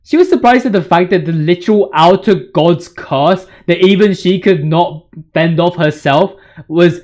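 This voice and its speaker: Casting: male gaming host, noticeably high voice, slangy and fanboyish, big on exaggeration and emphasis. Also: normal speed, a little mellow. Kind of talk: casual, slangy fan commentary on game bosses.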